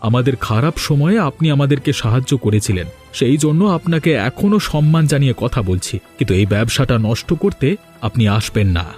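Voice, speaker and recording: A proud village husband from a cartoon played by a man, with a medium-pitched voice. He speaks emphatically and declaims with defiance, over a music bed. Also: expressive, brisk, a little mellow, natural voice. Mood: defiant. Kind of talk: cartoon voice acting.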